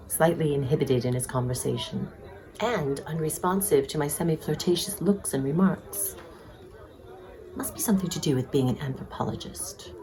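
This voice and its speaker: A woman playing an arch, flirtatious, inquisitive single woman in a dramatized novel. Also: a bass voice. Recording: chatter of a crowd behind. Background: crowd chatter, pub ambience.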